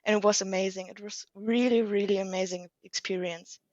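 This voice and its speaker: A woman's voice: medium pitch, fairly even intonation, a little fast, a little mellow, no echo, lo-fi sound. A young woman in her late teens whose first language is German, a podcast guest speaking English in a modest, slightly hesitant way. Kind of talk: casual conversational interview.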